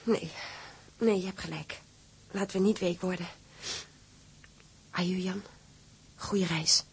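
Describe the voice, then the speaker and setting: A woman's voice: on the low side, fairly even intonation, slow pace, vocal fry, heavy background noise. An actress playing an anxious but brave young wife of a seaman in a radio drama, speaking hesitantly and with emotion. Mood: anxious, holding back tears.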